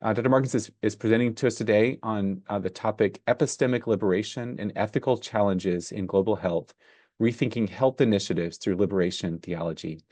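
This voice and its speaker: Male moderator, lowish voice, dry close sound, reads a prepared introduction. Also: mellow, no echo. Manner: measured, reads a prepared bio. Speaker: seminar moderator introducing the guest. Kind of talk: reading a formal introduction.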